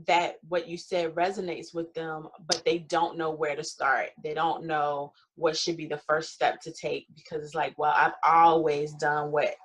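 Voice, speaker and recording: Show host, woman, deep voice, casual and affirming. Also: level tone, a little slow, hardly any pauses, slight background noise. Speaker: podcast host. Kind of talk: casual interview chat.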